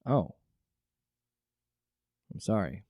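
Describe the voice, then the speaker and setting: An actor playing a wry, exasperated agency director in an audio drama, with a casual way of talking. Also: male voice, extremely slow, dark tone, dry recording, clean studio sound. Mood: sympathetic.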